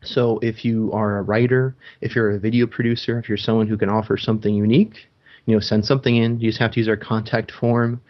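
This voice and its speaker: A man's voice: fairly low pitch, little pitch movement, fast talker. A man hosting a gaming podcast in casual chat, talking loosely with frequent ums and restarts. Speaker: podcast host. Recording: dull lo-fi sound.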